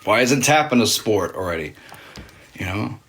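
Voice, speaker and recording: A young man chats casually on a live ASMR stream, thanking donors in easygoing slang. His voice sits low with a rough, gravelly edge. The close mic carries a steady layer of noise, with taps and typing in the background. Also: moderate pace. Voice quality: describes his voice as medium deep, sometimes deeper. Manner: laid-back slangy talk, thanks donors between whispered asides.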